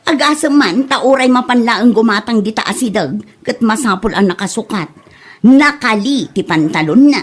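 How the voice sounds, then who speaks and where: A woman plays a sassy village gossip in a comedy podcast. The voice is high, with an Ilocano accent, emphatic and full of moralising disapproval. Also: lively intonation, moderate pace. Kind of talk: comedy drama podcast acting.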